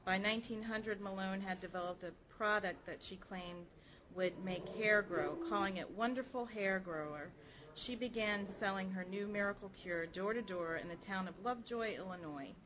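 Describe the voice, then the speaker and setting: A woman's voice: mid-range voice, normal speed. An American woman reading aloud from a nonfiction history book at a live public program. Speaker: public reader at a library program. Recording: clearly noisy live event recording.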